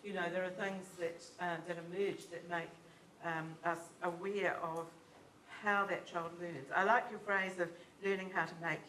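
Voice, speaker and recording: A woman responding to a lecture as discussant, talking loudly and enthusiastically in a lecture theatre. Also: fairly low pitch, fairly even intonation, moderate pace, a little hiss or hum. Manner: warm, enthusiastic, loud voice. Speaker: seminar discussant. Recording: echoey lecture hall.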